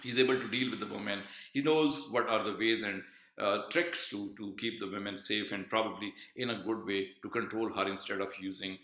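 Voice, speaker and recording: A man's voice: fairly low pitch, fairly even intonation, fairly quick, fairly bright tone. A male literature professor explaining a play in a lecture, with frequent fillers and restarts. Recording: echoey room.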